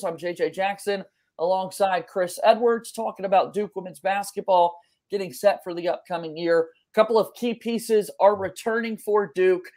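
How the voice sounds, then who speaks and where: A man's voice: sits high, darkish tone. A man in his twenties presenting a sports podcast as host from a room at home over a video call. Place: home bedroom or office, indoors. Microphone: video call, webcam framing, wired earbuds.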